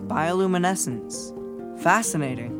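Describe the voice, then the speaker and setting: A man's voice: sits high, lively intonation, a little slow, over music. A man playing a curious, bookish boy who explains things precisely in a children's audio drama. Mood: fascinated.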